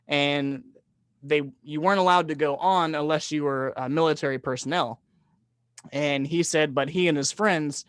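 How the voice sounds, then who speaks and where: A man's voice: on the high side, no room sound, clean studio sound. A man hosting an interview in a casual, informal way, feeling his way through his questions. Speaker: interview show host.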